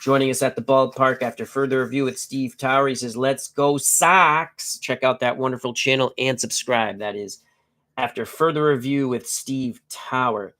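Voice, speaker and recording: Middle-aged male stream host, stuffy and nasal, chatty and jokey, average pitch, reads stats in short bursts. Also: fairly even intonation, moderate pace, fairly bright tone. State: congested and nasal from a cold. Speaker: host of a tabletop baseball live stream. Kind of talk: casual live-stream chat and lineup reading.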